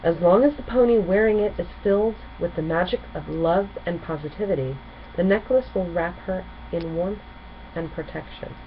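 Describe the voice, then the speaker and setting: A woman's voice: fairly low pitch, moderate pace, natural voice. A woman voicing a gracious, caring grown-up pony princess as she reads a storybook. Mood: kindly. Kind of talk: storybook character reading. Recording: muffled home recording with clear noise.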